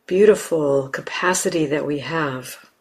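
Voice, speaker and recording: A woman teaching guided meditation, speaking gently and unhurriedly in short phrases with long pauses. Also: deep voice, breathy edge. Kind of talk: guided meditation, unscripted instruction.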